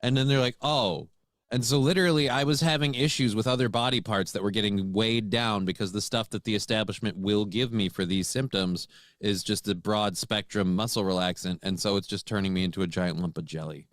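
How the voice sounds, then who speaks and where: A man's voice: on the low side, fairly quick, no echo, studio quality. A man in his late forties co-hosting a casual talk show. He speaks frankly and steadily, often repeating words as he restarts a sentence. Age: 40s. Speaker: co-host. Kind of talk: casual chat.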